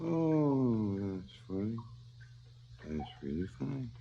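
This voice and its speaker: An actor playing a cynical, philosophizing hired killer in a radio drama, sardonic and theatrical. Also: male voice, lowish voice, sing-song, slow, vocal fry, full character voice. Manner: sardonic, theatrical, swinging from muttering to outbursts. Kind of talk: 1970s radio drama acting. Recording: slightly dull 1970s radio recording.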